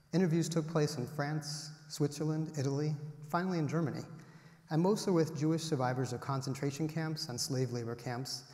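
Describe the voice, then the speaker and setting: A man in his forties giving an academic lecture from a podium, measured and explanatory, stressing each item as he lists them. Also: mid-range voice, a little mellow. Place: large auditorium, at a podium. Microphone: podium microphone. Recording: roomy hall with long reverb.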